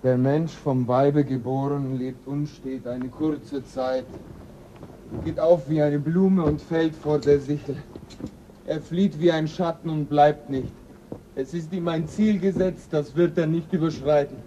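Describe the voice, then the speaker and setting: A man's voice: a little high, fairly even intonation, unhurried, dark tone, highs cut off, a little hiss or hum, lightly acted. An actor playing a sober, practical spaceship crewman with a dry, matter-of-fact way of talking in a radio play. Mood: solemn.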